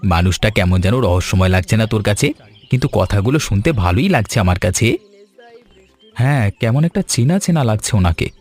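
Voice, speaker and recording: A man voicing a friendly young food seller, earnest, polite and chatty, in an animated story. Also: on the low side, breathy edge. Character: eager young fish-fry seller, friendly village man.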